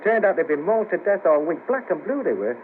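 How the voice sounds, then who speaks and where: A man's voice: high voice, very animated, fast, narrow, tinny audio. An actor playing a world-weary, scheming ex band manager, down on his luck, in a colloquial 1970s radio drama. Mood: weary, reminiscing. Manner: wry, persuasive, colloquial. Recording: patchy noisy cassette of 1970s radio broadcast.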